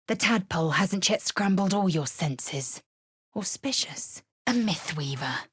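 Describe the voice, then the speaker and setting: A husky, blunt warrior woman. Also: expressive, measured pace, dry recording, studio quality, exaggerated voice. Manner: clipped, commanding, often low and hushed. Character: fierce githyanki warrior woman, proud and blunt. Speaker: game character. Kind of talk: studio game voice acting.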